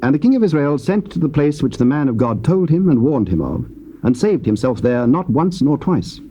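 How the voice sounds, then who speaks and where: A man narrating scripture in a measured, stately reading. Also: fairly high pitch, big pitch swings, mellow. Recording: faint tape hiss.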